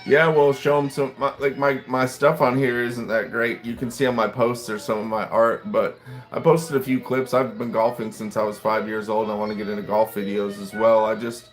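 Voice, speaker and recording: A man's voice: mid-range voice, flat delivery, moderate pace. A man in his late thirties, chatting casually and rambling on a livestream while he waits for food in a restaurant, reacting to what viewers write. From United States. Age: late 30s. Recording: faint classical music behind.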